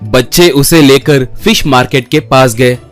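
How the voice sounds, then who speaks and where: A man with a medium-pitched voice narrates a scripted children's tale. Music plays behind his storytelling. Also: a little slow.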